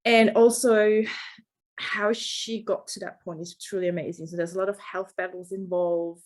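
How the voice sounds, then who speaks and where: Woman podcast host, super enthusiastic, lots of ums, middle pitch. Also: steady tone, normal speed. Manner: warm, enthusiastic, many ums. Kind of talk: casual interview chat.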